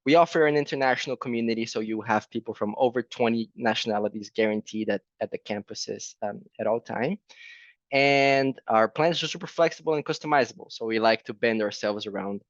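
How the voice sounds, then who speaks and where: A man's voice: mid-range voice, dry recording, lo-fi sound. A man from Brazil with a Brazilian Portuguese accent, presenting a language program in a friendly way at a virtual fair and often adding "right?". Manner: friendly, fills pauses with "right?". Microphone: video call.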